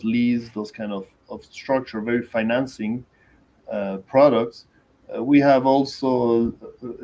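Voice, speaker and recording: A man from Venezuela with a Venezuelan Spanish accent, introducing himself as an investor on a panel, measured and hesitant. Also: medium pitch, darkish tone, lo-fi sound.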